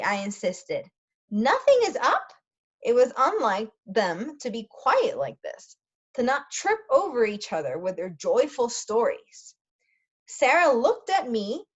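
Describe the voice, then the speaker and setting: Slow-reading woman teacher, higher voice, dull audio. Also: husky. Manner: careful, explanatory, pausing to gloss words. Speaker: teacher reading aloud to English learners. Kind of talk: read-aloud storytelling with brief translations. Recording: dull lo-fi sound.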